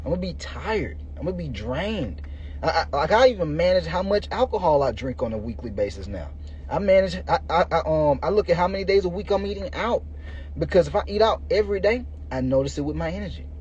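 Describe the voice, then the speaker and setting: A man's voice: on the high side, expressive, brisk, a little mellow. A man in his thirties hosting a fitness podcast, giving an informal motivational monologue in slangy, colloquial language aimed straight at listeners. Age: 30s. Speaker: podcast host and fitness coach. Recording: muffled, noisy lo-fi recording.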